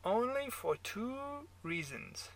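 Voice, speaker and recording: A man playing a drunken, sneering German man in a bar, with a German accent and halting, taunting questions in a story reading. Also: very high-pitched, big pitch swings, fairly bright tone, no echo, pitched above the performer's own voice, heavily acted. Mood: menacing. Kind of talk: dramatised character dialogue in a story reading.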